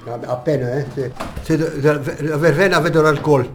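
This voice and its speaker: A man's voice: a little high, normal speed, soft background music. A man chatting with a reporter, often stammering and repeating himself. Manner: stammering, repeats words, hearty. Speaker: local resident serving homemade verbena liqueur. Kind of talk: casual chat with a reporter.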